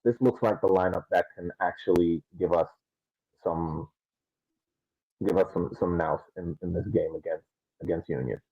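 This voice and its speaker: A man co-hosting a podcast, giving casual soccer analysis in a halting way and repeating words as he thinks. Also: deep voice, gravelly, slightly rough, no echo, dull audio. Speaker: podcast co-host.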